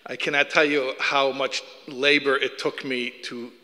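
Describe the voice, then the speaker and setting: Man with a Chicago accent, guest lecturer: earnest and self-deprecating, bright tone, lecture-hall echo. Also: mid-range voice, flat delivery, unhurried. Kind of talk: public lecture with jokes.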